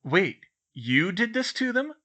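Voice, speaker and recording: Man as a loud, blunt, astonished schoolboy. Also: on the high side, sing-song, a little fast, voice pushed up, exaggerated voice. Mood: startled. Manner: blunt, exclamatory. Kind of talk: audiobook character voice.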